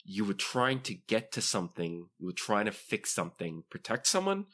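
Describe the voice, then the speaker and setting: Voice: sits low, very animated, normal speed, a bit bright. A game master narrating a tabletop roleplaying podcast, switching between dramatic storytelling and dry joking.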